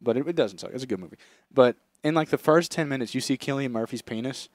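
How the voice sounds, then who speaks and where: Young male podcast co-host, mid-pitched, crude and sweary storyteller, casual, dry sound. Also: fast talker, no echo. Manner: crude, swearing anecdote-teller. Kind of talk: casual comedic podcast chat.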